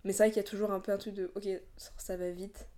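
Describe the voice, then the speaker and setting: A woman's voice: mid-range voice, little pitch movement, fast, warm tone. A woman in her twenties, co-hosting a podcast, talking in a reflective, rambling way with many fillers. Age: 20s. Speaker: podcast co-host. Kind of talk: casual chat.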